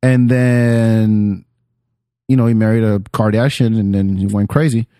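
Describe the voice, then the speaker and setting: A man's voice: on the low side, steady tone, a little slow, dark tone, clean tone, dry recording, studio quality. A man hosting a podcast in casual chat, opinionated and slangy, swearing freely. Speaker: podcast host.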